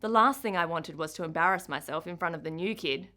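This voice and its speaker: A woman with an Australian accent, an author giving an expressive first-person reading of her children's book. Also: fairly low pitch, quick pace.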